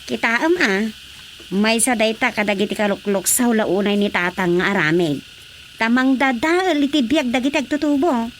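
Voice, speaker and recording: A woman's voice: a little high, normal speed, slight background noise, lightly acted. A woman voice-acting a chatty, earnest village wife in a radio drama.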